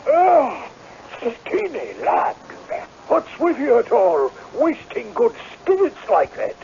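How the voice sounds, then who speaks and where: Kindly, hearty Scottish villager, high creaky voice, muffled radio play recording. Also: male voice, wildly swooping pitch, slow pace, a little mellow, full character voice. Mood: jovial. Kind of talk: radio drama acting. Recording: muffled, faintly noisy radio broadcast.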